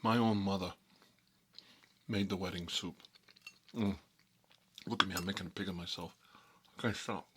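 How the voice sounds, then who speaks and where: A man's voice: deep voice, fairly even intonation, very noisy, close to an everyday voice. A man playing a boastful Italian-American mob boss in an improvised ASMR role-play, rambling and muttering between bites of food. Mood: boastful, nostalgic. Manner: rambling, halting, muttering, talking with food in his mouth. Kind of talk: improvised ASMR character role-play, eating while talking.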